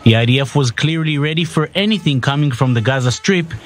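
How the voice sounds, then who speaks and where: A man's voice: fairly high pitch, a little fast, slight background noise. A man reading a scripted news report as a narrator.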